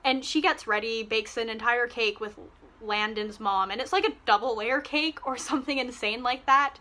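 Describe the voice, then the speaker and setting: A woman's voice: on the high side, normal speed, bright, forceful tone. A young woman ranting to camera about a book in an exasperated, sarcastic way, swearing freely. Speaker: book reviewer. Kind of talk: casual rant to camera.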